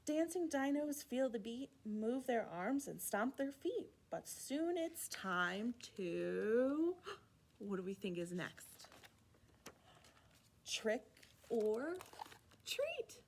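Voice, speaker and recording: A woman hosting a children's storytime, reading a rhyming picture book aloud in an expressive, playful way with asides to young listeners. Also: sits high. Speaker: children's library storytime host.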